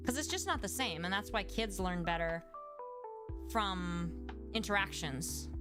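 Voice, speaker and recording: A woman's voice: fairly low pitch, expressive, measured pace. Chatty young woman streamer over music. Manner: chatty, full of "like" fillers and restarts. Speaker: speech-language pathologist and streamer. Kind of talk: casual livestream chat answering viewers. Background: background music.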